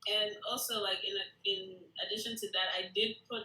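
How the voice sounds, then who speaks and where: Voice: medium pitch, flat delivery, a little fast, pushed and bright. A participant giving feedback in a casual discussion, tentative and thinking aloud. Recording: roomy, echoing room sound.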